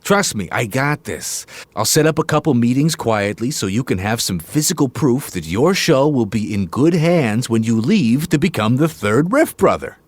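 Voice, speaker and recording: Actor as slick, animated Hollywood agent man. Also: medium pitch, normal speed, hardly any pauses, dry recording, close to an everyday voice. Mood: smooth, reassuring. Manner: slick, cocky salesman banter. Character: brash, pushy, flattering Hollywood talent agent man. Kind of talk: naturalistic audio drama dialogue.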